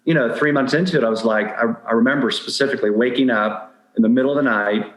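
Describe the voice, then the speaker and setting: A man's voice: medium pitch, quick pace, roomy sound, rough recording. A middle-aged man speaking candidly and anecdotally as a guest in a conversational interview. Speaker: podcast guest giving dating advice.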